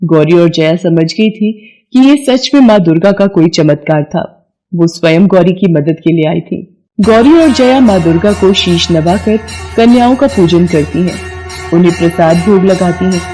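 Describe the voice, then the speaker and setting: A woman's voice: lowish voice, animated, normal speed, warm tone, soft background music. A woman reading scripted moral-story narration as the storyteller.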